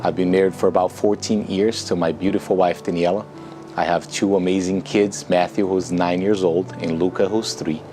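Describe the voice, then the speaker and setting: A man's voice: deep voice, fairly even intonation, normal speed. A man in his thirties with a Brazilian accent, speaking English as a second language in an earnest, reflective personal testimonial. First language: Portuguese. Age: 30s. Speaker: author and entrepreneur promoting a book. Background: music.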